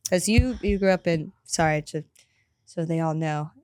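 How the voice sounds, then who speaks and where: A woman hosting a podcast, chatting in a relaxed way with many fillers. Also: low-pitched, normal speed, slight background noise. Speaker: podcast host. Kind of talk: casual interview chat.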